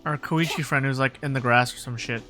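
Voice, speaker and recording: A woman's voice: extremely low pitch, fairly even intonation, a little fast. A woman livestreaming a game, chatting casually and swearing as she mutters to herself, with game music behind. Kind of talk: casual, sweary livestream chat while playing.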